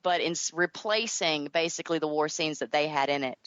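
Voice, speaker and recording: A woman's voice: low-pitched, brisk, fairly bright tone, clean tone, dry recording. A woman with a Southern American accent chatting casually as an interview guest about creative work. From Southern United States. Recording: dull lo-fi audio.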